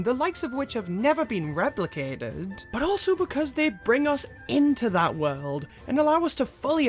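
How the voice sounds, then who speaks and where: A woman narrating a scripted video essay as host, with a wry, casual manner. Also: medium pitch. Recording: music playing behind. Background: background music.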